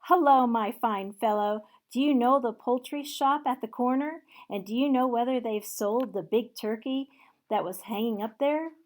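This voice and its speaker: A loud, exclaiming old miser, raised well above the reader's normal pitch, as a woman puts him on for a storybook reading. He pleads and shouts his vows in a middle-pitched, animated voice. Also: measured pace, natural voice. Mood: overjoyed. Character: old man Scrooge, overjoyed and reformed.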